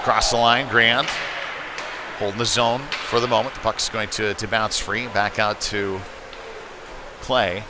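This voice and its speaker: A man doing live play-by-play hockey commentary in a halting delivery with frequent fillers. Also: lowish voice, fairly even intonation. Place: indoor ice rink. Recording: noisy live rink stream.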